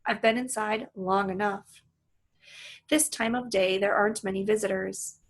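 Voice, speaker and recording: A woman reads a children's story aloud with lots of expression. Her voice has a slightly husky grain, and she takes her time over the sentences. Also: medium pitch. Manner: animated, acts out the dialogue. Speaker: reader reading a children's book aloud. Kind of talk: expressive read-aloud narration with asides to listeners.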